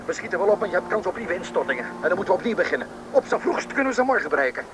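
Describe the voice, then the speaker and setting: A man playing a gruff but caring foreman in a radio play, with a commanding manner. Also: sing-song. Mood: stern, concerned. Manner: brisk, commanding. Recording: noisy old radio play, vehicle rumble.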